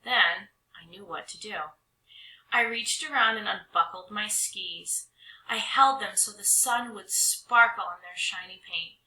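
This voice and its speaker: A woman reading a children's storybook aloud with expressive, dramatic narration, stretching some words and hushing others. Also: mid-range voice, measured pace. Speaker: storybook reader. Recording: roomy echo.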